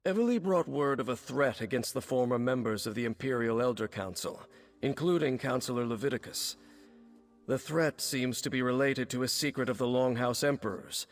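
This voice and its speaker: An actor voicing a sober, dutiful commander giving a measured, formal, serious quest briefing in a video game. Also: male voice, mid-range voice, close to an everyday voice. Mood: grave, concerned. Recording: game dialogue over background music.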